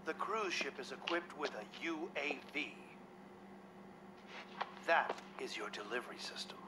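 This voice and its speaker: An actor playing a scheming older government commissioner, formal, measured and grandiose, in a video game cutscene. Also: male voice, a little high, animated, background noise. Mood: businesslike, curt. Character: dignified, scheming older male government commissioner, arrogant and unrepentant. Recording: game music behind the voice.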